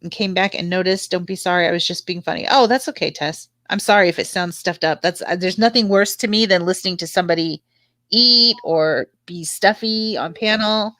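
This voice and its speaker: A woman's voice: on the low side, brisk, fairly bright tone, dry recording, studio quality. A woman with a slightly stuffed-up nose, chatting casually with viewers on a livestream panel. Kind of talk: casual chat with live viewers.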